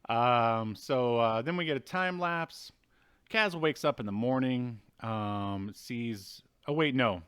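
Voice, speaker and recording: Man casually recapping a film, wry and halting. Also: mid-range voice, a bit bright, clean tone. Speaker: co-host of a movie review show. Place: indoors at home, video call.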